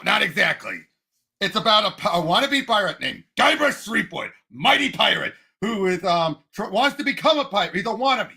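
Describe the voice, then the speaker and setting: A man's voice: high voice, gravelly, sharp tone. A man co-hosting a podcast, chatting casually and emphatically about films and games, with firm opinions. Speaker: podcast co-host. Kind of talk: casual film and game discussion.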